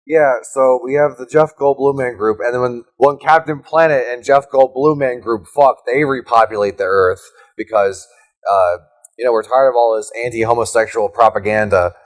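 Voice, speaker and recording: Young guy cracking crude jokes with his cohost, playful, quick. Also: mid-range voice, poor audio. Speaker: podcast co-host. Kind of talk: casual comedic chat.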